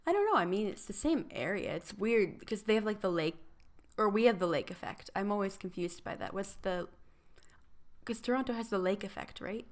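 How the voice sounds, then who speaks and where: Woman, mid-pitched voice, rambling casual podcast chat, laughs and fillers. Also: lively intonation, a little fast. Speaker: podcast co-host.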